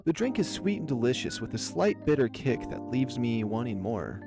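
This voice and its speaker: A man narrates a visual novel in the first person. His voice is medium in pitch and expressive in delivery, set over clearly audible music. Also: normal speed.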